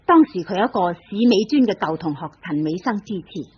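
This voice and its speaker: A woman giving scripted dramatic narration for an old radio play in a measured storytelling cadence. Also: on the low side, big pitch swings, husky. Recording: dull lo-fi old radio recording.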